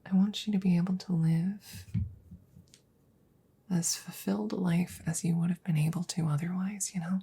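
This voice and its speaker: Woman acting a breathy, whispery, worried scientist friend. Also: fairly low pitch, steady tone, moderate pace, full character voice. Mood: tender, earnest. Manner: hesitant, rambling, gently reassuring. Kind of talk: ASMR audio roleplay, close and soft. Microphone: close microphone.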